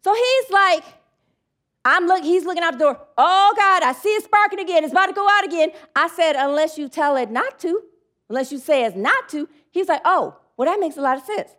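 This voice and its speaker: High-voiced woman preaching a sermon. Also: lively intonation, fairly quick, a bit bright. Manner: talkative and rambling, leads congregation in call-and-response affirmations.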